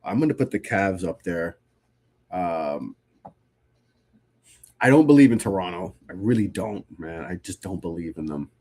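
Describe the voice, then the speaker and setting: A man in his thirties with a somewhat low voice hosts a relaxed live-stream sports show. He sounds stuffy from a cold. He talks loosely, answering his chat and often restarting his sentences. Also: measured pace, long pauses. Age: 30s. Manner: loose and conversational, frequent restarts. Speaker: podcast host.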